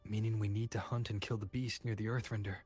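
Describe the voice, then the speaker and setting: A man playing a calm, curious young traveller hero in a fantasy game. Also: on the low side, fast, breathy edge, no room sound, soft background music. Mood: curious, thoughtful. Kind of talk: scripted fantasy game dialogue.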